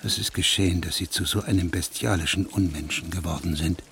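An actor playing a stern, methodical investigating judge in a radio drama, measured, probing and authoritative. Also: male voice, low-pitched, fairly even intonation, vocal fry, a bit bright, slight background noise. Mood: accusing, stern.

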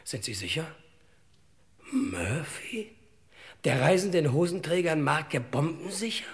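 A man's voice: a little high, animated, slow pace, gravelly, full character voice. An actor playing a wry, chatty detective's sidekick in an old radio crime play, deferring to his boss in dryly ironic, stilted phrases. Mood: dry, puzzled.

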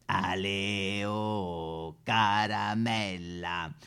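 A man playing a dim, gruff, bored football-mad husband with a Roman accent in a satirical stage sketch. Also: low voice, fairly even intonation, extremely slow, fairly bright tone, exaggerated voice. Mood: dull, evasive.

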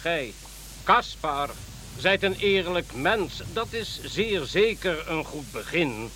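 A man's voice: fairly high pitch, expressive, takes it slowly, sharp tone, full character voice, poor audio. A man playing a grave, devout king in a radio play, speaking formally like a preacher. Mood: solemn exhortation. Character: solemn, devout king following a star. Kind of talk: Dutch radio play acting. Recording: faint background hiss.

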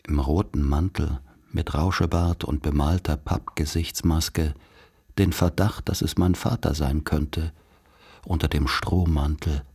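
A man's voice: very deep voice, a little mellow, breathy edge. A man narrating literary, scripted childhood memories for a radio play.

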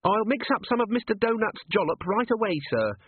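A man's voice: high-pitched, sing-song, brisk, slight background noise, voice pushed up. A man voicing a nervous, polite young female dwarf constable. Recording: phone-like narrow band, dry.

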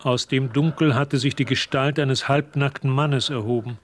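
A man narrating a suspenseful radio drama in a measured, literary manner. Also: medium pitch, steady tone, natural voice. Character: composed male storyteller narrating a suspense tale.

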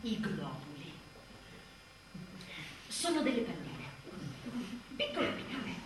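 A woman's voice: lowish voice, huge pitch swings, takes it slowly. A woman performing a theatrical comic monologue as an exasperated mother, with a sarcastic, emphatic and dramatic delivery. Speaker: stage actress performing a monologue. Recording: echoey room, distant mic, faint noise.

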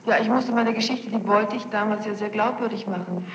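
A woman's voice: mid-range voice, a little fast. A woman testifying as a witness in court, defensive and precise about her wording. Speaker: witness under questioning. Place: large reverberant courtroom. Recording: muffled, noisy, echoey archival courtroom tape.

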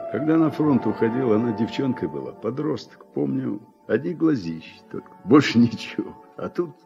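An actor playing a weary, regretful middle-aged war veteran and farm worker in a radio drama, reflective and plain-spoken. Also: male voice, lowish voice. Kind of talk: Soviet radio drama acting. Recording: muffled old radio recording, music behind.

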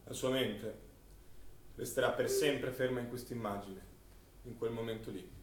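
An actor playing a solemn narrator who recounts wartime deaths in a deliberate, declamatory stage manner. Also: male voice, mid-range voice, steady tone, distant mic, close to an everyday voice. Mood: grave, declamatory. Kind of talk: dramatic scripted stage narration. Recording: echoey, off-mic recording with faint noise.

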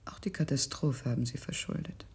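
A woman acting an embittered, secretly clever enslaved woman in a radio drama, in a husky, breathy, conspiratorial half-whisper. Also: rumbling low, brisk, darkish tone, heavily acted. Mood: bitter, scornful.

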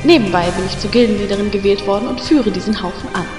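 An actress playing a vain, self-important elf priestess and leader in a parody audio drama. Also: female voice, medium pitch, fast talker, over music, natural voice. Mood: vain, proud. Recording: echoey hall, distant from mic.

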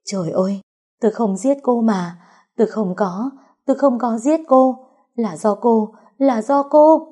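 A female storyteller plays a guilty, panicking servant woman from an audio drama. She pleads in a raised, husky voice and repeats herself as she begs for mercy. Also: on the high side, a little slow, mellow, voice pushed up. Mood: frantic denial. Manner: pleading, repeats phrases frantically.

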